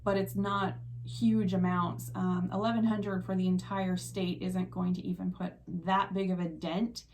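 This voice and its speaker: A woman's voice: fairly low pitch, little pitch movement, unhurried, a little mellow. Chatty woman radio host. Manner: chatty, laughs often. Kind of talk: casual radio interview.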